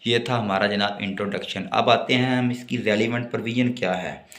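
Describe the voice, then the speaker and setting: A man's voice: on the low side, steady tone, brisk, fairly bright tone. A man with a Pakistani accent giving a law lecture, reading notes aloud and explaining them in a didactic way.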